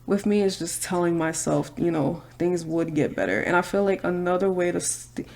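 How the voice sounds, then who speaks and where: A woman's voice: lowish voice, moderate pace, creaky, darkish tone. A woman, chatting casually and candidly while doing her makeup. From Brooklyn, New York. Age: 20s. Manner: chatty, candid, slangy asides. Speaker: vlogger.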